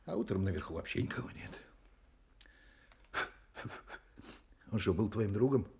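An actor in a radio play voicing a gossipy, cynical, hard-drinking hotel detective who mutters, chuckles and rambles. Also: male voice, on the low side, animated, fast talker, creaky, noisy background. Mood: knowing, muttering. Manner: rambling, muttering, chuckling, full of interjections and tag questions. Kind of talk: Soviet-style radio play acting.

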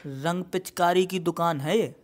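A man's voice: high voice, a little slow, very noisy. A man voicing an exasperated, mocking shopkeeper in a comic cartoon. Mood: irritated. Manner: mocking, argumentative. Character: sarcastic, exasperated male festival stall keeper. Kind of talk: comic Hindi cartoon dialogue.

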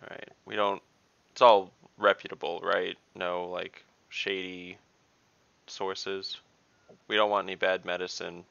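A man roleplays in an online tabletop game with a very deep, gravelly voice. He speaks slowly and deadpan, with a bright edge. The sound is dry and lo-fi. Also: no echo. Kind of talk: in-character roleplay. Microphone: video call. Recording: dry lo-fi video call audio.

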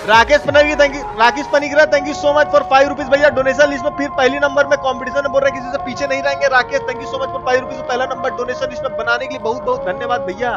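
High-pitched man streaming with an eastern Hindi accent. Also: flat delivery, brisk, lo-fi sound. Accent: eastern Hindi belt (Bihar and Purvanchal). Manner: playful, colloquial, exclaiming, repeats phrases. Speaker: live game streamer. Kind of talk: casual live gaming commentary, thanking donors. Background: video game music.

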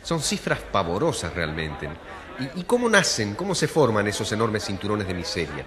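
A man's voice: on the low side, wildly swooping pitch, moderate pace, crowd noise. A man acting an inquisitive radio reporter in a radio drama, broadcasting live from a courthouse story. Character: inquisitive male radio reporter covering a tense court case. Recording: reverberant hall sound.

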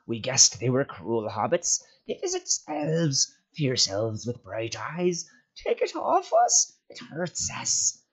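A man voicing a wretched, cringing, sly creature in a storybook, whining and fawning in a hissing, hoarse whisper with drawn-out, repetitive pleas. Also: medium pitch, wildly swooping pitch, pitched above the performer's own voice, heavily acted. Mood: anguished, hissing. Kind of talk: storybook character voice.

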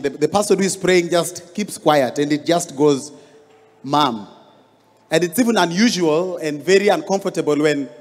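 Kenyan male pastor, 30s, fairly high voice, storytelling sermon, hall echo, handheld mic. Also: a little mellow. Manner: storytelling, rising emphasis on key words. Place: large church hall stage. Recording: long hall reverb.

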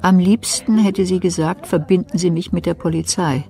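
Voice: medium pitch, steady tone, normal speed, creaky, mellow, faint music behind. A narrator giving a dramatic scripted reading in a German radio thriller.

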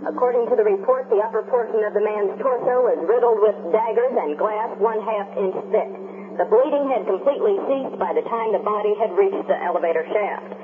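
A woman acting as a composed American field reporter, reading a formal, measured news report for a radio drama. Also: medium pitch, natural voice. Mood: formal, grave. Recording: noisy, tinny vintage radio remote line.

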